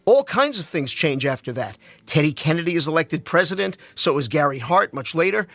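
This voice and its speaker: A man's voice: a little high, big pitch swings, a little fast, a bit bright, no echo, heavily acted. A man playing a curious, joking married teacher in a dramatic reading, with an inquisitive, wry manner. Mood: chatty, enthusiastic.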